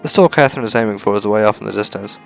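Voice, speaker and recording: A man's voice: lowish voice, rapid-fire, vocal fry. A man reading a visual novel aloud as narration for a gaming video. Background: faint music.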